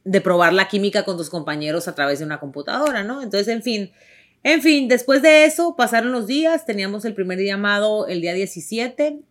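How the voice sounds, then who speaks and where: A woman's voice: mid-range voice, fairly quick. A young woman from Tijuana with a northern Mexican accent, telling her story to viewers in a chatty, emphatic way full of fillers. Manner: chatty, warm and emphatic, many fillers and restarts. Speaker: actress.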